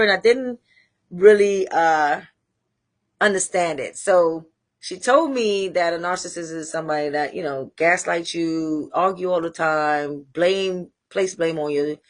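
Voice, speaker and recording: A woman with a Trinidadian accent hosting a live talk show, speaking candidly and rambling with pauses to find her thread. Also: fairly low pitch. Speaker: host. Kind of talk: casual livestream monologue.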